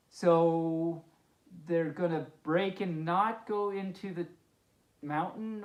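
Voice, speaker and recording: A woman hosting a children's story time as a friendly pup, reading expressively and adding playful, amused asides. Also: lowish voice. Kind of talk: expressive storybook read-aloud with chatty asides. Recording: roomy, echoing indoor sound.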